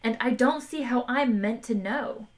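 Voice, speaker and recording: A woman's voice: fairly high pitch, moderate pace, natural voice. A woman voicing a bewildered, polite man, speaking in a slightly raised, puzzled tone. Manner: puzzled, fretful.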